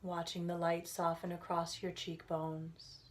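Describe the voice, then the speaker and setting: Low, even-voiced woman guiding meditation. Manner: soothing, gentle, unhurried phrasing with pauses. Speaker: guided meditation instructor. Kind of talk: guided relaxation meditation narration.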